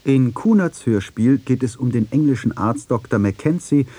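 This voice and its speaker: A man's voice: mid-range voice, expressive, moderate pace, warm tone, husky, no room sound. A man reading a scripted radio documentary in a sober, precise manner. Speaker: radio feature narrator.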